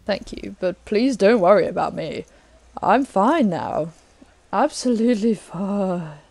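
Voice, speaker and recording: A woman playing a flustered, polite British gentleman in his thirties in a radio play, stammering and apologetic. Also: medium pitch, lively intonation, slow, vocal fry, no room sound, exaggerated voice. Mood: brave, polite. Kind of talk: 1930s-set radio play acting.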